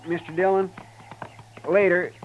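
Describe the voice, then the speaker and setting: An American actor playing an earnest, deferential frontier sidekick with a country twang in a 1950s radio western. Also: male voice, sits high, flat delivery, unhurried. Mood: pleading. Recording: muffled, hissy vintage radio recording.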